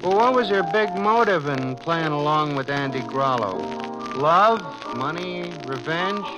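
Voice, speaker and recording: An actor playing a naive, curious, chummy sidekick with a slangy, puzzled manner in a 1940s radio drama. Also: male voice, on the high side, lively intonation, a little slow, muffled recording. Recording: old radio recording, music behind.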